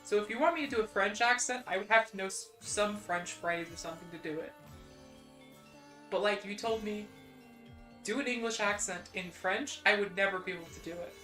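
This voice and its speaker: A woman's voice: medium pitch, a little fast, sharp tone, soft background music. A young adult woman with a Midwestern American accent, chatting casually on a stream, rambling with lots of "like"s and restarts, at a desk mic. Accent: General American (Midwestern). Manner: rambling, many "like"s and restarts, self-deprecating. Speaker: VTuber streamer.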